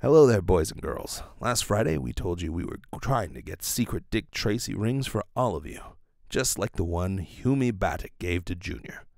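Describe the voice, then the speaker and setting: A man playing a genial, calm detective hero talking to young listeners in an old radio serial. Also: fairly low pitch, normal speed, vocal fry, no room sound, studio quality, pitched below the performer's own voice. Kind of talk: 1930s radio serial acting.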